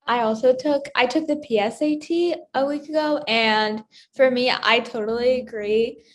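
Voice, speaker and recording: A woman's voice: fairly high pitch, fairly even intonation, normal speed. A teenage young woman with an American accent, co-hosting a student podcast in a casual, chatty style.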